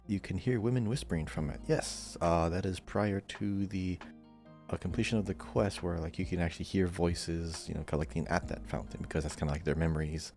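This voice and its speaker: A man's voice: low-pitched, steady tone, a little fast, a little mellow, faint music behind. A man co-hosting a gaming podcast, talking in a casual, conversational way. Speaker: podcast co-host.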